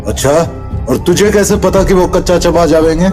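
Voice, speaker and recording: A man playing a cynical, rough-mannered villager in an audio drama, with a sneering, impatient manner and rural Haryanvi-Rajasthani Hindi accent. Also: sits high, moderate pace, darkish tone, soft background music, natural voice. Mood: mocking.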